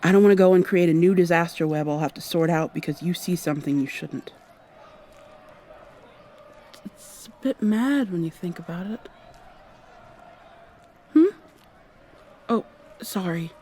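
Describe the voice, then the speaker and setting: A woman playing a brisk, awkward time traveler in an ASMR roleplay, talking to the listener in a rambling, wry way and thinking out loud. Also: on the low side, close to an everyday voice. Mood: musing, guarded. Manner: rambling, hesitant, thinking out loud mid-sentence. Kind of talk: ASMR roleplay, acted one-sided dialogue to the listener.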